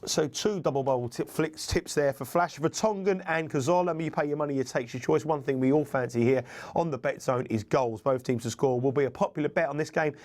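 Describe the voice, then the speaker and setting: A man hosting a sports betting tips show, brisk and reeling off statistics, bunged up with a cold. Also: fairly high pitch, darkish tone. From United Kingdom.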